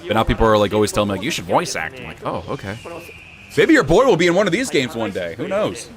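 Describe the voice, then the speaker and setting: Low-voiced young man streaming casually. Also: quick pace, crowd noise. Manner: even, low-key delivery with bursts of excitement. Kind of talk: casual live-stream reaction chat.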